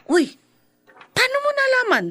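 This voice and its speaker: An actress playing a gossipy, teasing middle-aged friend in a Filipino radio drama, with a chatty, animated delivery. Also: female voice, very high-pitched, a little slow, full character voice. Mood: surprised, insistent.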